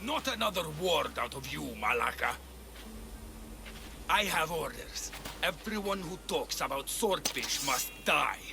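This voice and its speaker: A male actor playing a menacing, gravelly enforcer in a video game cutscene. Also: on the high side, very animated, measured pace, over music, exaggerated voice. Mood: menacing, angry. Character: menacing male enforcer under orders, threatening.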